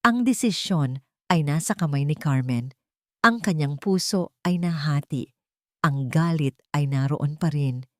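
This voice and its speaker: A woman narrates a scripted dramatic story in a measured, earnest manner. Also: sits low, a little mellow, dry recording, clean studio sound.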